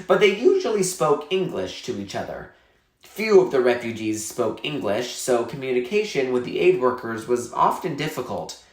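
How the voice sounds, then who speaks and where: A man narrating a story in a scripted read-aloud style. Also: mid-range voice. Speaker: reader reading a novel aloud. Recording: echoey room.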